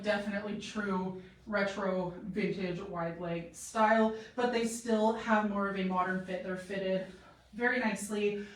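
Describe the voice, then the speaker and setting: A woman's voice: mid-range voice, a bit bright. A young woman from Canada hosting a casual live-stream try-on, talking in a chatty, rambling way with many fillers and a slightly sore throat. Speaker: boutique model and live-stream host. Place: boutique room with a clothing rack. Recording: echoey room, voice from a distance.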